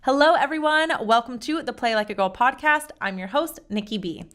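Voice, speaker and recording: Young woman podcast host from norcal, super bubbly and excited, laughs a lot. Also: fairly high pitch, brisk, slight background noise. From Northern California. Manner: bubbly, enthusiastic, laughs often. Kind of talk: upbeat podcast interview.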